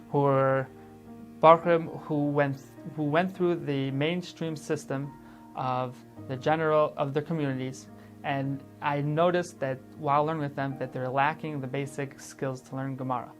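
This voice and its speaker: A young man from Chicago, a teacher trainee giving a halting testimonial full of false starts and repeated words. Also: a little high, fairly even intonation. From Chicago, USA. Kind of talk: testimonial interview. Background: music.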